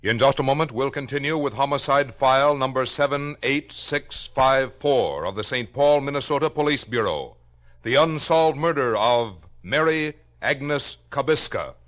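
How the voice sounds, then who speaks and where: A man announcing formally for a 1950s radio drama, measured and official. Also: mid-range voice, fairly bright tone.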